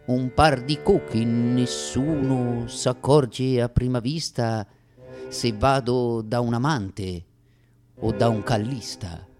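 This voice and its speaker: A man's voice: medium pitch, over music, natural voice. A man with a Roman Italian accent playing a self-mocking, plaintive stage prologue in a declamatory comic monologue for radio theatre. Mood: mock serious. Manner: declamatory, with drawn-out pauses and sudden hurried bursts.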